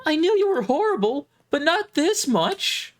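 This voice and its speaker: Performer doing a fierce, high-pitched protective woman. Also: very animated, measured pace. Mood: furious scolding. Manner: schoolteacher-like, emphatic. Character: sweet but fierce, scolding woman protecting her friends.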